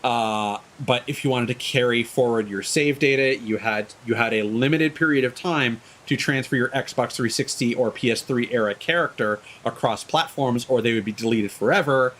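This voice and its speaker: A man's voice: mid-range voice, moderate pace, few pauses, fairly bright tone. A man chatting casually as he streams a game, hesitant and discursive, restarting phrases and swearing now and then. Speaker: video game streamer, host. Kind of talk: casual rambling chat while streaming a game.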